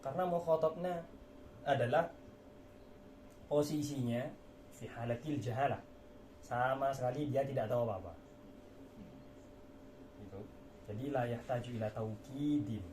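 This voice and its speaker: A man's voice: mid-range voice, a little slow, pauses often, a little mellow. Man teaching in an echoey room. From Indonesia. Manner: explains with repetition and rhetorical questions. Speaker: Islamic religious teacher. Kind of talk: informal lecture on Arabic rhetoric. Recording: echoey room with faint background noise.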